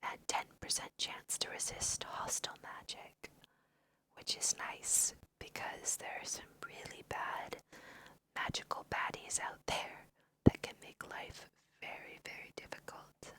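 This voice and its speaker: A woman speaks entirely in a bright, sharp-edged whisper, with no voiced tone. She takes her time as she gently explains a video game in ASMR style. She has a slight cold, and her delivery stays soft, breathy and unhurried. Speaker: let's play host guiding through a video game.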